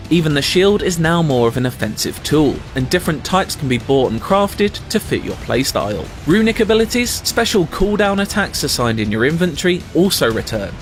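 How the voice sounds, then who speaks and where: A man reading a scripted video game review as a voice-over, in a measured, articulate and wordy way. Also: on the high side.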